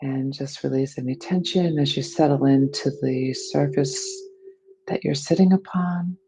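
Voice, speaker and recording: A person leading a guided meditation in a soothing, unhurried manner. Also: mid-range voice, mellow, slight background noise, poor audio. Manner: soothing, unhurried phrasing with breathy releases.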